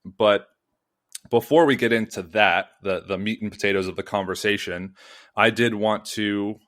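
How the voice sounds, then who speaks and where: A man's voice: fairly low pitch, fairly even intonation, moderate pace, fairly bright tone. A man hosting a podcast, introducing the topic and guests in a rambling way full of fillers and restarts. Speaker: podcast host. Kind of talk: podcast interview.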